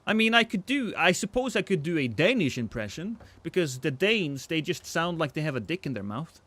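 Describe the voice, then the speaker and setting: A man's voice: on the high side, sing-song, fairly quick, a bit bright, no echo. A man, chatting casually and joking with his chat while streaming a game. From Norway.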